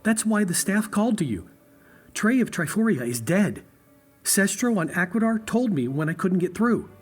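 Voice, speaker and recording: A man playing a calm brainy scientist. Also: high-pitched, moderate pace, slightly rough, faint music behind, heavily acted.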